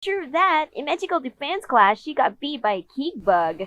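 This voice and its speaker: A woman's voice: high-pitched, sing-song, moderate pace, a bit bright, dull audio. A woman playing a sassy, teasing teenage fairy in a cartoon fandub.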